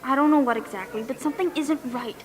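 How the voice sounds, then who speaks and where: A woman's voice: high-pitched, quick pace, a crowd in the background, natural voice. A woman playing an earnest, insistent young wife and dental assistant with suspicions, in an audio drama performance. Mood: suspicious, earnest. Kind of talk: stage audio drama acting.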